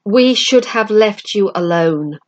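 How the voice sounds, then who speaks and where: A woman voicing an earnest, gentle schoolboy who speaks hesitantly in a storybook reading. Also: medium pitch, lively intonation, slow, muffled recording. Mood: gentle, concerned.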